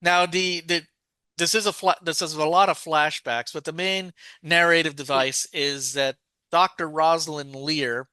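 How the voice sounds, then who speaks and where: A man co-hosting a podcast in casual discussion, often halting and correcting himself as he goes. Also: fairly high pitch, steady tone, pushed and bright, smooth, no echo. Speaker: podcast co-host.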